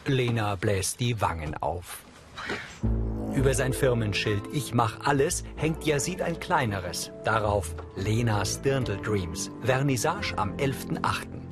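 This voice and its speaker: A male narrator delivers audio description for a TV film. He is measured and matter-of-fact, at an average pitch. Music plays behind his scripted reading. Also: expressive.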